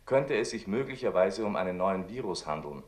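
A man playing a formal, impersonal radio newsreader in a drama, delivering a bulletin in a measured, official way. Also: low voice, lively intonation, echoey room, over a noisy crowd.

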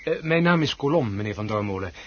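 A man's voice: on the low side, lively intonation, fast talker, a bit bright. An actor playing a formal, polite and slightly hesitant male reporter in a radio drama. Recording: dull, noisy old radio recording.

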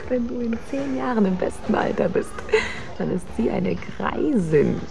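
An actress playing a catty, scheming confidante who sneers conspiratorially in a German radio drama. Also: female voice, a little high, lively intonation, unhurried, vocal fry. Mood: needling. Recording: noisy scene with music behind.

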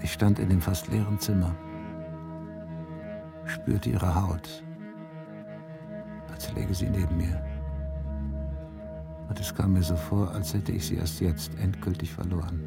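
An actor playing a stern, weary police detective in a crime radio play, terse and brooding. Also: male voice, low-pitched, steady tone, measured pace, gravelly, pauses often, over loud music. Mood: brooding, reflective. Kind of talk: German radio drama acting, inner monologue.

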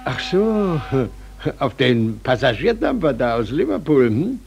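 A man's voice: mid-range voice, sing-song, slow, vocal fry, a little mellow, slightly rough, exaggerated voice. An actor playing a kindly, concerned policeman in a 1940s German radio play, solicitous and full of exclamations and cautions. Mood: curious, friendly. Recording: noisy 1940s radio recording.

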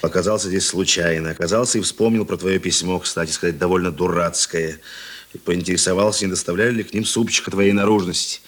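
An actor playing a stern, world-weary middle-aged police chief in a radio drama, with a husky, gruff, dry delivery. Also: male voice, low voice, fairly even intonation, fast. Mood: dry, sardonic.

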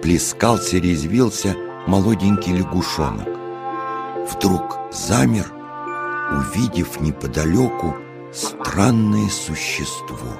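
A man's voice: low voice, very animated, slow pace, gravelly. A man narrating a scripted children's story as a storyteller. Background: music.

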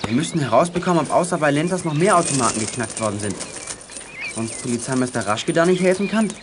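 Actor as precocious child detective, precise, animated intonation, youth radio play, noisy ambience. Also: mid-range voice, quick pace, close to an everyday voice. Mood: thoughtful.

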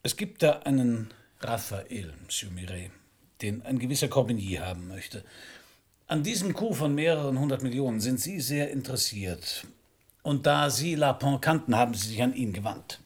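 An actor playing a battered middle-aged private detective, wry, laconic and sardonic, in a noir radio play. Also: male voice, mid-range voice, expressive, normal speed. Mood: needling. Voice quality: husky, gravelly. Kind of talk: noir radio drama acting and first-person narration.

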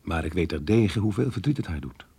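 Radio drama: remorseful married diarist, low register, slightly rough tone, reflective and measured. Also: male voice, animated, dark tone, no room sound, full character voice. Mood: guilty, resigned. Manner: earnest, reflective, measured delivery. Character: married man, melancholy diarist torn by guilt over lost love. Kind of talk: radio drama monologue, reading a diary.

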